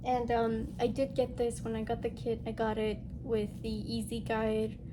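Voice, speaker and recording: A woman's voice: a little high, completely flat delivery, moderate pace. A woman with a congested, nasal voice from a lingering illness, chatting casually and a little ramblingly to viewers as she hosts a craft show-and-tell video. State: getting over a respiratory illness. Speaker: host of a cross-stitch video channel. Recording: noticeable background noise.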